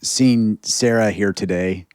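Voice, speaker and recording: A man interviewing a guest, asking gentle questions and giving soft murmurs of agreement. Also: on the low side, slow, clean tone, no echo, studio quality.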